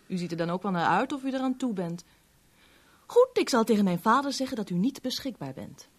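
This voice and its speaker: An actress playing a cool, haughty young millionaire's stepdaughter in a radio drama, curt and cutting. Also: female voice, medium pitch, animated, fairly quick, slightly rough. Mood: impatient, haughty.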